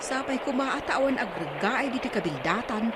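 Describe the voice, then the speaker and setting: A woman's voice: fairly high pitch, expressive, moderate pace, close to an everyday voice. A woman with an Ilocano accent playing a worried village neighbour in a radio comedy drama. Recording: very noisy radio drama ambience.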